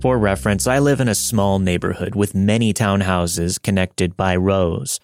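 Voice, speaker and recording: A man's voice: deep voice, moderate pace, a little mellow, faint music behind. A man hosting a podcast, reading scripted material as a storyteller and narrator. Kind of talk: scripted first-person story narration and host-read promo.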